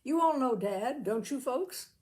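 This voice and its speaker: A woman's voice: high-pitched, animated, unhurried, a little mellow, voice pushed up. A woman voicing a hearty grown son who calls out sociably to his father in a storybook reading. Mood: sociable, welcoming.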